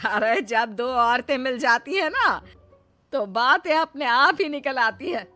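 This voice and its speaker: A woman's voice: sits high, expressive, takes it slowly, pushed and bright, exaggerated voice. A woman voicing a bossy, grumbling middle-aged mother-in-law in a scolding, theatrical way for a Hindi moral cartoon.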